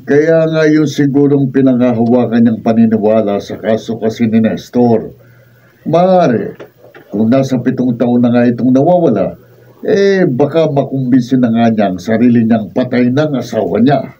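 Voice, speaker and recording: A Filipino man playing a thoughtful, doubtful villager who reasons things out deliberately in a radio drama. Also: medium pitch, dark tone, lightly acted. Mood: pensive. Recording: muffled, distant mic, background noise.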